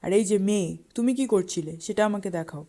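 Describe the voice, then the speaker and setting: A woman voicing a sly jackal uncle who acts slow-witted and puzzled in a children's cartoon fable. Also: medium pitch, mellow, close to an everyday voice. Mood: crafty, directing. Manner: wily, feigned puzzlement. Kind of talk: children's animated fable dialogue.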